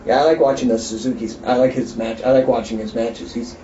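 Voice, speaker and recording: A man's voice: medium pitch, level tone, a little fast, mellow. A man from California, interviewing in an easygoing way with short questions. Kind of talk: casual interview chat. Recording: distant, roomy, dull lo-fi with faint hiss.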